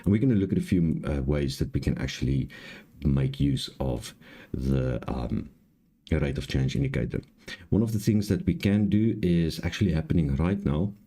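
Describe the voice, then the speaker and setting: A man with a raspy, slightly hoarse voice gives an unscripted tutorial, explaining step by step in a halting way and repeating his points. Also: rumbling low, expressive, warm tone. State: hoarse, clearing throat. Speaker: trading live-stream host and instructor.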